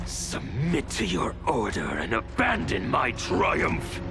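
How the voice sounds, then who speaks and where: An actor playing a gloating, sadistic villain in a video game, theatrical, drawn-out and menacing. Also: male voice, medium pitch, huge pitch swings, creaky, heavily acted. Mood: triumphant. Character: gloating, sadistic male villain, grandiose and patient. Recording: music behind the voice.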